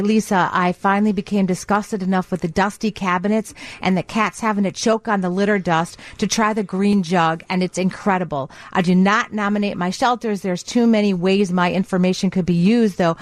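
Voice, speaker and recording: A woman's voice: fairly low pitch, no echo. A woman co-hosting a radio program in a conversational style. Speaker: radio co-host.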